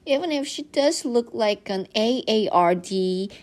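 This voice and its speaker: A moody, stubborn young schoolgirl from a children's storybook, acted out by a woman reader. She is emphatic and easily indignant, with a very lively pitch. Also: a little slow. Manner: exclaiming, sulky, emphatic.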